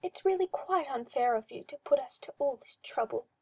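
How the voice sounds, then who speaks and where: A woman's voice: high-pitched, expressive, darkish tone, dry recording. A woman voicing a simpering, cruel male magister who talks in a sly, mock-tender croon. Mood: cooing menace. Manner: cooing, drawn-out, mock-tender.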